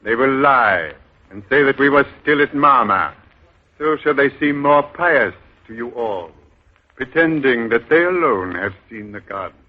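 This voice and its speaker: An actor playing a cunning beggar leader who poses as a god in a 1930s radio drama, giving orders in a sly, deliberate way. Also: male voice, medium pitch, lively intonation. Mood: grandly deceptive. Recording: muffled old radio broadcast with music.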